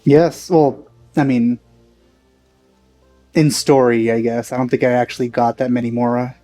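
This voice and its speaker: A man's voice: mid-range voice, fairly even intonation, moderate pace, mellow, faint music behind. A man giving casual let's play commentary with dry, teasing asides.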